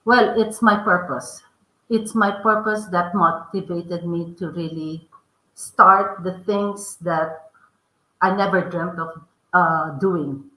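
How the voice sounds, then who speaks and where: A middle-aged woman with a strong Filipino accent speaks earnestly, often stopping to restart a sentence. Her voice is bright and a little low for a woman. The room echoes around her. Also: steady tone, slow. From Philippines. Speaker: podcast guest, author. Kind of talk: interview answers.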